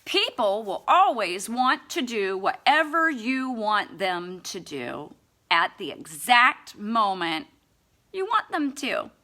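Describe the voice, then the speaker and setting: Bright-voiced woman reading with slow sarcasm. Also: medium pitch, big pitch swings. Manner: sarcastic, mock-earnest. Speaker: amateur audiobook reader. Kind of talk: expressive scripted book reading.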